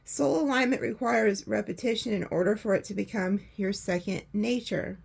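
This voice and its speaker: A woman with a hoarse voice, chatting casually through a tarot reading and laughing often. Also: medium pitch, moderate pace, darkish tone. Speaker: tarot reader.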